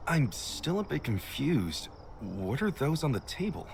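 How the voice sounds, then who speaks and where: An actor playing an earnest, easygoing young nursery manager in a video game, with a chatty, expressive delivery. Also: male voice, medium pitch, a little slow, gravelly, slight background noise. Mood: puzzled, sober. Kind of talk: scripted video game voice acting. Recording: loud music under the voice.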